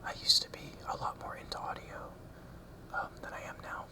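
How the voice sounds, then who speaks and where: A man whispering a rambling ASMR talk close to the mic, softly repeating words as triggers, with ums and small nervous laughs. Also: brisk. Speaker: ASMR creator. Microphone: close ASMR mic, brushed.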